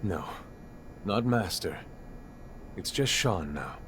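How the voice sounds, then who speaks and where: An actor playing a proud, dignified mentor in a video game, speaking formally as a teacher of officers. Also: male voice, low-pitched, lively intonation, slow pace, fairly bright tone, slight background noise, exaggerated voice. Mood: proud, gracious. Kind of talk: dramatic game dialogue.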